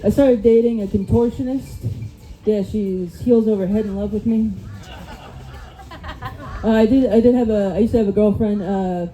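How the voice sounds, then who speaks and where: Dark-toned American woman doing stand-up. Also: mid-range voice, steady tone, normal speed, a faint crowd, a little hiss or hum, poor audio. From United States. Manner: rambling, self-mocking delivery. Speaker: stand-up comic. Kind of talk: stand-up set of one-liners. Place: comedy club stage.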